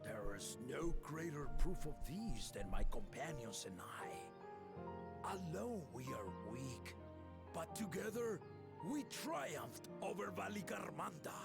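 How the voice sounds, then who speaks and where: A woman's voice: low voice, sing-song, takes it slowly, vocal fry, over loud music, heavily acted. A woman voicing a determined young leader who rallies rival peoples in a game cutscene, speaking in an earnest, stirring way. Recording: game score playing behind.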